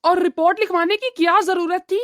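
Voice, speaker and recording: Woman voicing a high-pitched, reproachful cartoon father. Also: steady tone, fairly quick, fairly bright tone, no room sound, studio quality. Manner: admonishing, lecturing. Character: weary, reproachful middle-aged father and husband, moralizing. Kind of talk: animated family drama dialogue.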